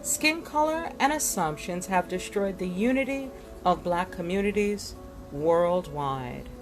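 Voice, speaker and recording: Expressive, unhurried woman reading aloud. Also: on the low side, over music. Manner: dramatic, deliberate delivery with emphatic pauses. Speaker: book reader. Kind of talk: expressive read-aloud of a nonfiction book.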